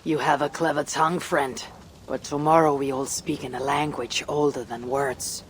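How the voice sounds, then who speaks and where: A woman playing a bold Norse warrior and raider in a video game, speaking in a measured, blunt, grave way. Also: low voice, fairly even intonation, a little hiss or hum, natural voice. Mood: determined. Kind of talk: video game cutscene acting.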